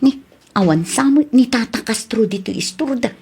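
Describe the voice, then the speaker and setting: A woman's voice: fairly high pitch, animated, moderate pace, darkish tone, soft background music. A woman voicing a chatty customer at a rice store in a radio drama. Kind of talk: Ilocano radio drama acting.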